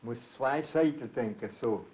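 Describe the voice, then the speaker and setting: An older man with a Swabian accent from southern Germany, explaining informally as an amateur inventor in halting, repetitive phrases. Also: mid-range voice, darkish tone, rough recording.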